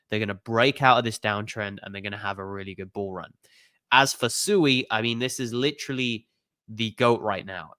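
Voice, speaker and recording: Guy doing a crypto chart rundown, sick with the flu, chatty, smooth voice, dry close sound. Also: mid-range voice, a bit bright, no echo. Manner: conversational, filler-heavy, "you know" and "okay?" tags. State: has the flu, voice a bit off. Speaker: crypto channel host. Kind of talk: casual chart analysis monologue.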